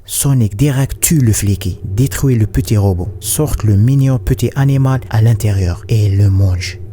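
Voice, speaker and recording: A man's voice: lowish voice, normal speed, warm tone, a little hiss or hum. A man delivering scripted explanatory narration in the manner of a horror video recap.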